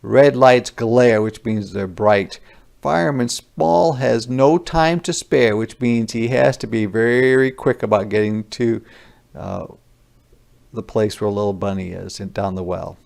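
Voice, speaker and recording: Guy teacher reading a picture book out loud, creaky voice, explains words simply as he goes. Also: on the low side, measured pace. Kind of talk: children's read-aloud with explanatory asides.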